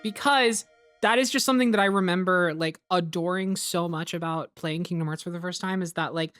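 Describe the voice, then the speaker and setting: A woman's voice: fairly low pitch, brisk, a bit bright, faint music behind. Casual woman chatting in commentary. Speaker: dub writer and director on cast commentary.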